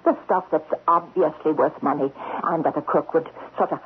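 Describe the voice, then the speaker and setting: An actress playing a talkative, blunt, folksy housekeeper in a radio mystery drama. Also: female voice, deep voice, fairly even intonation, normal speed, close to an everyday voice. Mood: gossipy. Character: chatty, blunt housekeeper with a gossipy streak. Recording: muffled, noisy old radio broadcast.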